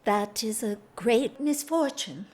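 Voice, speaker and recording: A woman's voice: on the high side, animated, slow pace, a little mellow. An actress playing a reserved, married English society lady in a period audio drama, earnest, formal and restrained. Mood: restrained, grave.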